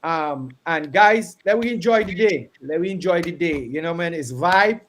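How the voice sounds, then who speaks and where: Earnest Trinidadian man, slightly high voice. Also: lively intonation, moderate pace, fairly bright tone. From Trinidad and Tobago. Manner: earnest, encouraging, preacherly. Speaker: gospel artist. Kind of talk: casual radio tribute talk.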